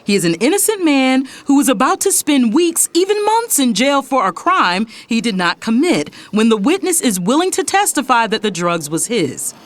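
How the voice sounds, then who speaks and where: An actress playing a fiery, exasperated lawyer and big sister, speaking emphatically in a dramatic audio story. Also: female voice, high voice, sing-song, moderate pace, few pauses, dry recording. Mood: composed, insistent. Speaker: audiobook narrator. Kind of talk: dramatic first-person story narration.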